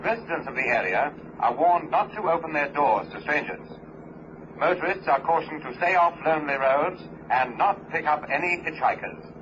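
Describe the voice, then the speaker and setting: An actor playing a formal, urgent radio newsreader giving a police warning in an old-time radio drama. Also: male voice, fairly high pitch, expressive, moderate pace, lightly acted. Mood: grave, urgent. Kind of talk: old-time radio news bulletin acting. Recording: muffled, noisy vintage radio recording.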